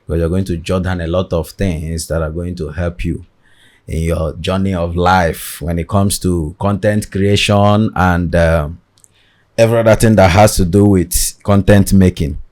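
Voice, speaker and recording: Deep-voiced Nigerian man hosting a podcast. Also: steady tone, darkish tone. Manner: formal, deliberate presenter delivery. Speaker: podcast host. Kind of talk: podcast interview. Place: podcast studio.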